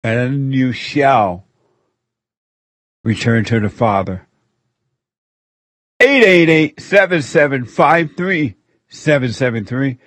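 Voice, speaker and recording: A man's voice: mid-range voice, sing-song, slow, pauses often, fairly bright tone, no room sound. A man hosting a call-in show, talking in a preachy, repetitive way and taking callers. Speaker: radio call-in show host.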